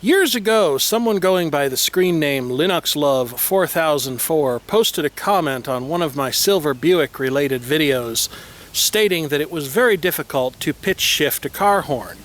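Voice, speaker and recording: A man's voice: on the high side, lively intonation, a little slow, a bit bright. A man hosting a casual vlog with wordy, playfully formal, tongue-in-cheek phrasing and mock seriousness. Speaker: video host. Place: outdoors on a windy day, by a vehicle. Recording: clear noise, windy outdoor recording.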